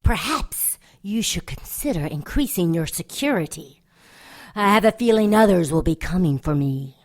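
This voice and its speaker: A woman's voice: on the low side, expressive, normal speed, a little mellow, close to an everyday voice. A woman playing a defiant, protective succubus mother in an audio drama, impassioned and emphatic. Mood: wary.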